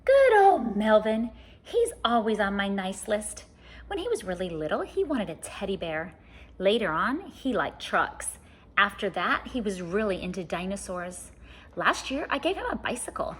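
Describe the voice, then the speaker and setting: A woman's voice: medium pitch, sing-song, moderate pace, slightly rough. A woman voicing a kindly old Santa Claus, jolly and fondly reminiscing, in a storybook reading. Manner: fond, reminiscing.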